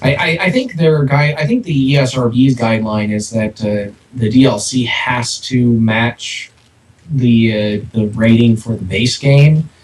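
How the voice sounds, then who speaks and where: Male podcast co-host, unscripted and hesitant, mid-pitched, recorded echoey and distant. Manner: halting, repeats words while thinking aloud. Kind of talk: casual, unscripted discussion. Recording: echoey, distant mic, background noise.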